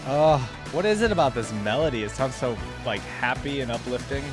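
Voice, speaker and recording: Man, medium pitch, casual reaction commentary, slangy, enthusiastic, prominent game music in the background. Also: very animated, a little fast. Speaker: reaction video host. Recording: music playing behind the voice. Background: game theme music.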